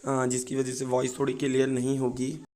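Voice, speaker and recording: Man, hoarse sore throat, monotone, halting informal explainer, gardening presenter. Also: medium pitch. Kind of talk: informal explanatory talk to viewers.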